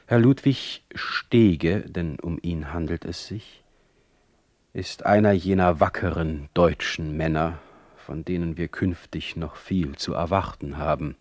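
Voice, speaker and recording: A man's voice: low-pitched, takes it slowly, darkish tone, no echo, clean studio sound. An actor playing a proud, defiant exiled male poet in a radio play, declaiming emphatically in formal diction. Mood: earnest, formal. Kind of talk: radio play acting, dictated letters.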